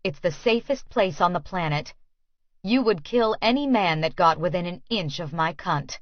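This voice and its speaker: Bold, clever woman character, read by a female narrator: cheeky, matter-of-fact, fairly low voice, dry audiobook sound. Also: lively intonation, normal speed, no room sound, lo-fi sound, lightly acted.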